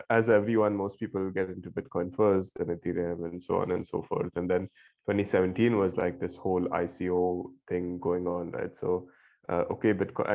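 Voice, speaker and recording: Low, gravelly man on a tinny line. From India. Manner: halting, many fillers and restarts, laughs often. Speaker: guest. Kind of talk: casual remote interview.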